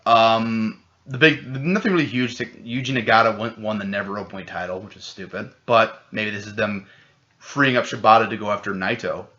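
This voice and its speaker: Excitable, swearing podcast co-host. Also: male voice, lowish voice, normal speed. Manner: rambling, swears a lot, excitable. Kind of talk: casual chat. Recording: dull lo-fi podcast audio.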